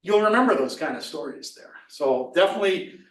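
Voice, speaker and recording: Slightly raspy, enthusiastic man in an echoey room. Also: on the high side, expressive, a little fast, a bit bright, far from the mic. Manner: enthusiastic, conversational, frequent "right?" asides. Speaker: presenter and instructor. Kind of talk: casual webinar talk.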